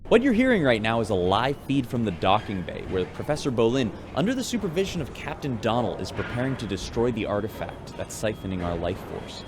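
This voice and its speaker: An actor playing a folksy spaceship radio host, delivering scripted news reports in an informal, wry announcer style. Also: male voice, mid-range voice, big pitch swings, a little fast, few pauses, a little hiss or hum, natural voice. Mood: wry, reporting. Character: folksy male radio newscaster aboard a spaceship.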